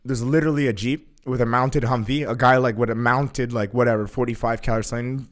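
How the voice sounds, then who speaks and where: A young man telling a story in a casual chat, animated, full of "like" fillers and swearing. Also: medium pitch, fast. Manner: animated, heavy use of filler "like", swears freely. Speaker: guest.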